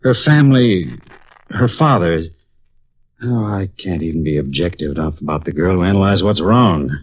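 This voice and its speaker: An actor playing a brooding, cynical young psychology professor in a 1950s radio drama, speaking in a somber way. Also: male voice, low voice, lively intonation, normal speed, no room sound. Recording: dull lo-fi 1950s radio broadcast.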